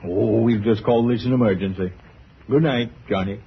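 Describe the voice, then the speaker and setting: A man acting a kindly, wily small-town country doctor in an old-time radio drama, middle-aged, folksy, hesitant and gently wry. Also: lowish voice, normal speed, creaky. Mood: gentle, coaxing. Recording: dull, noisy old radio transcription.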